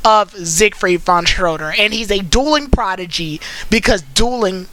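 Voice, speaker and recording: A host chatting casually while recapping and critiquing an anime series, with an animated, wry storytelling manner. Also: mid-range voice, unhurried. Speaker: host of an anime commentary stream.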